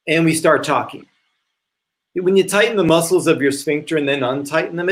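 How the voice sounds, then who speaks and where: A man playing an earnest, preachy brother in a live play reading, deliberate and insistent. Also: on the high side.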